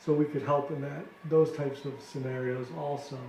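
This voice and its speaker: A middle-aged American man from Detroit giving earnest, deliberate explanations in an informal interview. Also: medium pitch, flat delivery, a little mellow. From Detroit, United States. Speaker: interviewee, ministry founder. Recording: roomy echo with clear background noise.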